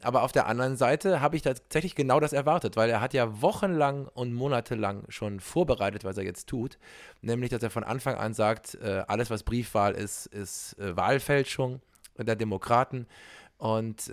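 Male podcast co-host, mid pitch, chatty and laughing, dry close mic. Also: no echo. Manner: chatty, many fillers and restarts, laughs often. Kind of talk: casual remote podcast chat. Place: home, recording remotely.

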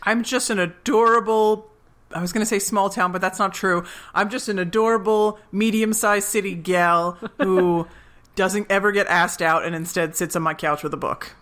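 A woman co-hosting a podcast in casual chat, playful and self-mocking, quick to laugh. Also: mid-range voice, fairly even intonation, a bit bright. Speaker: podcast co-host. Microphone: remote call.

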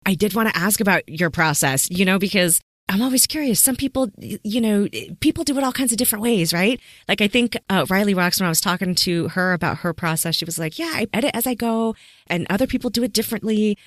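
A woman's voice: medium pitch, animated, quick pace, no room sound, studio quality. Informal, encouraging woman podcast host. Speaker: podcast interviewer. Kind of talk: casual interview chat.

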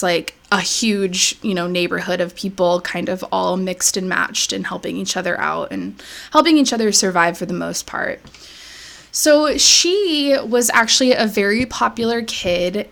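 A woman co-hosting a podcast, casually retelling a life story with lots of fillers. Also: mid-range voice. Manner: relaxed, filler-heavy retelling. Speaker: podcast co-host.

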